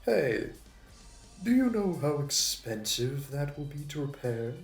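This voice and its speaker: A man's voice: fairly high pitch, big pitch swings, vocal fry. A man playing a suave, smug supervillain in an audio roleplay, with a mocking, flirty, theatrical delivery. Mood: smug, taunting. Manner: theatrical, taunting, drawn-out delivery. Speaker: voice actor. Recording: roomy echo, distant mic, music behind.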